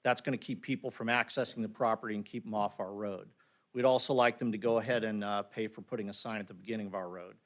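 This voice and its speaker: A man's voice: fairly low pitch, fairly even intonation, quick pace. A man speaking unscripted at a public hearing as a local resident, plainspoken, with frequent ums and uhs. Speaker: resident giving public comment.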